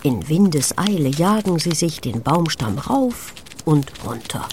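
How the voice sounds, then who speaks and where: A woman with a low voice narrates a children's nature story. Her delivery is slow and full of wonder, with very lively swings in intonation as she addresses young listeners. Birdsong is audible behind her. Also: a little hiss or hum. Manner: expressive, hushed and wondering, addressing a small child. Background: forest sounds with chirping birds.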